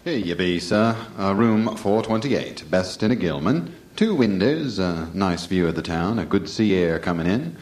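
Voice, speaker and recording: An actor playing a sluggish small-town hotel clerk in a radio horror drama, slow and hesitant in rustic dialect. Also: male voice, deep voice, sing-song, darkish tone. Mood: dull, evasive.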